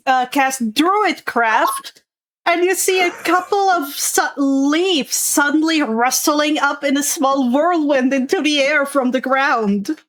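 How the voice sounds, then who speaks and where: A woman acts as game master with a high voice and an unhurried pace. She narrates what happens as the players act. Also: fairly bright tone.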